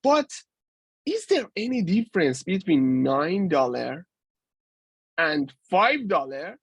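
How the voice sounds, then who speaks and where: Slow, halting man lecturing. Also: a little high, very animated, slightly rough, no room sound, highs cut off. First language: Persian. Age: 40s. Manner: halting, with many restarts and fillers. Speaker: guest lecturer. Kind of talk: university lecture.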